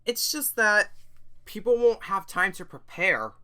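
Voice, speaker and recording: A woman hosting a video, making an earnest, emphatic appeal to viewers in a halting delivery. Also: mid-range voice, animated, pushed and bright. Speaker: video host.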